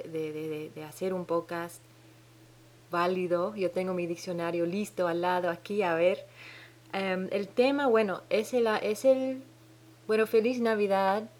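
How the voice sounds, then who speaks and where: A woman's voice: fairly low pitch, a little mellow. A woman hosting her own podcast with a Dominican-tinged Spanish accent, talking alone in a hesitant way, often stopping to search for words and restarting. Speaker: podcast host. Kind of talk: solo podcast monologue. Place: home in New Zealand.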